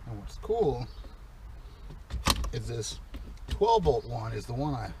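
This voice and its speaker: A man's voice: mid-range voice, big pitch swings, takes it slowly. Casual man talking through a job, noisy recording. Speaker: DIY vlogger demonstrating a car repair. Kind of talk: casual hands-on tutorial talk. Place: inside or beside a Jeep.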